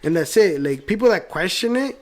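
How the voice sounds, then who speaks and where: Man, light mexican accent, somewhat high pitch, animated casual podcast chat. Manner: animated, fast-talking, says "like" and "bro" often. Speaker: podcast guest.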